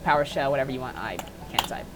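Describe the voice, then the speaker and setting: Low-voiced woman, casual tutorial host. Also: fast, noisy background. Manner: informal, offhand, thinking aloud. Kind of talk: casual unscripted software modding tutorial.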